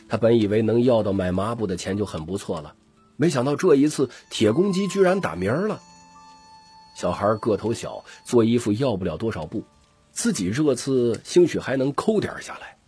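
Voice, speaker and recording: Deep, very husky male storyteller. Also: a little mellow. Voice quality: gravelly, husky. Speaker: audiobook narrator. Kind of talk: expressive scripted story narration.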